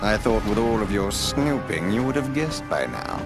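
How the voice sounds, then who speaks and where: Villain owl: slow, gravelly, gloating, pitch swinging wide. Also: male voice, sing-song. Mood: mocking. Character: sneering male owl villain, scheming and cruel. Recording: game audio with music behind.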